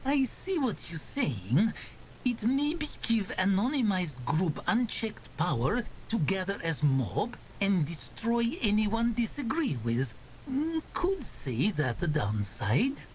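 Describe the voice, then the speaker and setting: A woman's voice: medium pitch, big pitch swings, takes it slowly, darkish tone, a little hiss or hum, rough recording. A synthetic woman's voice playing an earnest female inventor who speaks in clipped, broken English. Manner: clipped broken grammar, thoughtful and eager. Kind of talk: AI roleplay voice with narration.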